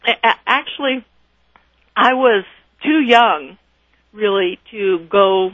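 A woman, a guest telling her story in a radio interview, lively and animated. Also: medium pitch, slow pace, no echo, highs cut off. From Texas, raised in California.

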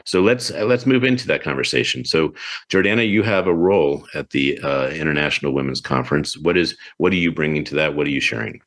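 A man with an extremely low, deep voice hosts a relaxed talk show. He talks in an expansive, chatty way as he puts questions to his guests. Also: fast talker. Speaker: show host. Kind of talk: casual interview chat.

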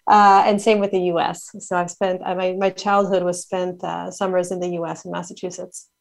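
A woman's voice: on the low side, flat delivery, creaky. A woman from Montreal, a guest on an interview podcast, talking with enthusiasm and many fillers as she lists places and ideas. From Canada (Montreal). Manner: enthusiastic, talkative, quick listing with fillers. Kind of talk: casual interview conversation.

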